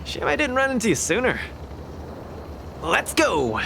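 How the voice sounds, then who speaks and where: A man's voice: very high-pitched, wildly swooping pitch, unhurried, creaky, background noise, heavily acted. A man playing a cocky, upbeat young male fighter in an anime-style video game, with a breezy, boastful, teasing manner. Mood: eager, encouraging. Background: music.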